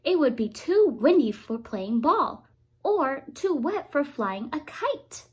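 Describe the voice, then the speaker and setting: A woman's voice: high voice, big pitch swings, slow pace, a little mellow. A woman teacher reading a picture book aloud to young children, expressively and with little explanatory asides.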